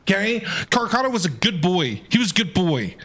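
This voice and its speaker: A man reacts live while streaming a game. His voice is high and bright with big swings in pitch, and he talks back to the characters in dramatic, grumbling asides. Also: big pitch swings, normal speed.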